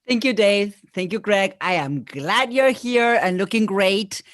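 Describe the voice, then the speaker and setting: A woman's voice: mid-range voice, no echo, clean studio sound. A woman in her forties from Argentina with an Argentine Spanish accent, a native Spanish speaker, speaking as a podcast guest and executive coach in an expansive, reflective way. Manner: reflective, expansive, pauses to restart thoughts. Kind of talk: podcast interview.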